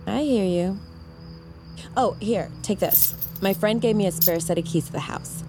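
An actress playing a warm, teasing aunt and close friend in casual audio drama dialogue. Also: female voice, medium pitch, moderate pace, faint music behind, natural voice. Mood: caring. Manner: playful, breezy, caring.

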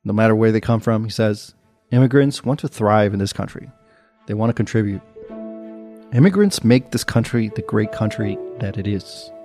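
A man hosting a podcast, reading scripted narration in a measured, earnest way. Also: fairly low pitch, lively intonation, warm tone, soft background music. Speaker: podcast host.

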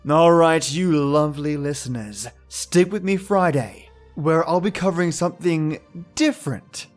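A man's voice: a little high, unhurried. An Australian man hosting a podcast, giving casual, reflective commentary. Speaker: podcast host. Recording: faint music behind voice.

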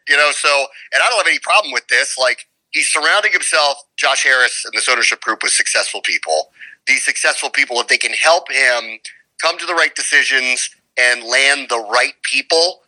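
Guy doing sports talk, real bright punchy voice, emphatic and full of opinions, asks rhetorical questions. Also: medium pitch, poor audio. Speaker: guest sports radio play-by-play announcer. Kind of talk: conversational sports commentary.

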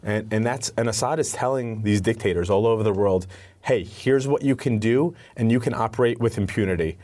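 A man's voice: on the low side, a little fast, darkish tone. A man speaking as a guest spokesperson in an interview, answering earnestly and repeating words as he starts each thought. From United States. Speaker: advocacy spokesperson.

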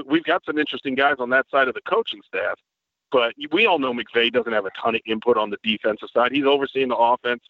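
A man rattles off analysis as a podcast guest, quick and loose with the odd curse. His voice comes through narrow and phone-like, with no room around it. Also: mid-range voice, dry recording. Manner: fast-talking, analytical, swears casually. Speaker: podcast guest, team site writer. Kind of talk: casual podcast chat. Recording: tinny dry phone-line audio.

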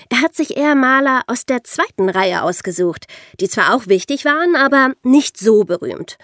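A woman's voice: mid-range voice, no echo, studio quality. A woman hosting a podcast, explaining things in a storytelling way with rhetorical questions. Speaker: podcast host.

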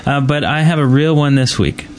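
A man's voice: on the high side, normal speed. A man hosting a gaming podcast in a casual, conversational style. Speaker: podcast host.

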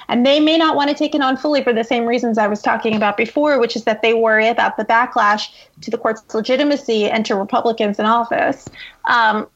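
A woman's voice: fairly high pitch, lo-fi sound. A woman guest journalist giving conversational, analytical political commentary in an explanatory way.

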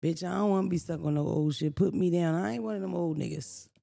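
Raspy-voiced woman, gravelly podcast talk. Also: on the low side, fast talker. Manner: blunt, profane, animated storytelling. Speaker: podcast co-host. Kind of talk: casual chat.

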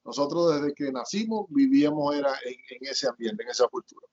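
A man's voice: mid-range voice, expressive, brisk, darkish tone, husky. A middle-aged man from Zulia, Venezuela, with a Zulian accent, telling his life story as a guest in an interview and rambling with many fillers and restarts. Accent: Zulian Venezuelan Spanish. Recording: dull lo-fi audio.

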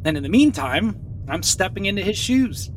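A woman playing a scheming junior talent agent in a comedic audio drama, flattering and grandiose in persuasion. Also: moderate pace, close to an everyday voice, low-quality recording. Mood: slyly persuasive. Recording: rumbling car noise.

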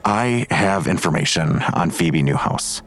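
A man's voice: deep voice, animated, a little slow, fairly bright tone, exaggerated voice. A man playing a weary, grudging police detective in an audio drama, curt and sardonic in manner. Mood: grudging, guarded.

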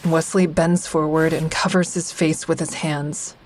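A woman's voice: deep voice, level tone, measured pace, a little mellow. A woman acting as the wry, observant first-person narrator of an audio drama, a member of a support group. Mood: wry, uneasy. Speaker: narrator and character.

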